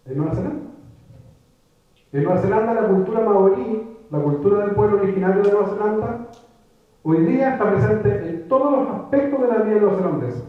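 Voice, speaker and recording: Man speaking in an echoey hall. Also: high voice. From Chile. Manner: reflective, with many restarts and repeated words. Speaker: writer giving a public talk. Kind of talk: conversational lecture. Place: lecture room before an audience. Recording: echoey phone-like room recording from far off.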